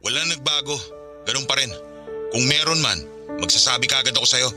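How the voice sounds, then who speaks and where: A man's voice: mid-range voice, brisk, over music, close to an everyday voice. An actor playing a firm, exasperated father who has moved on from his ex, curt, in a Filipino radio drama with a Filipino accent. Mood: guarded, curt.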